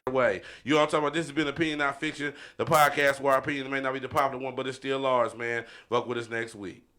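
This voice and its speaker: A man's voice: medium pitch, little pitch movement, rapid-fire, sharp tone. A man hosting a podcast in casual, slangy banter, repeating catchphrases like a hype man. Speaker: podcast host.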